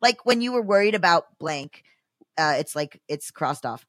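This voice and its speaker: A woman's voice: deep voice, animated, normal speed, a bit bright, dry recording, studio quality. Woman reading as condescending guy giving blunt dating feedback. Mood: condescending, earnest. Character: self-important man texting blunt dating feedback.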